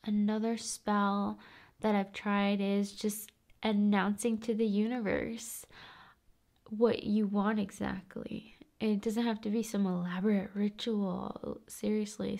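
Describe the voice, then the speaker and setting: A woman speaking in a soft, breathy, often whispery voice, chatting gently to viewers in an ASMR style. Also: medium pitch, level tone, measured pace. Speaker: ASMR video creator and host.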